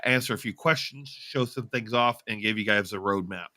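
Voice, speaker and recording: A man's voice: on the low side, moderate pace, pushed and bright. A man co-hosting a podcast in casual livestream chat, informal and blunt in the way he talks. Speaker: podcast co-host.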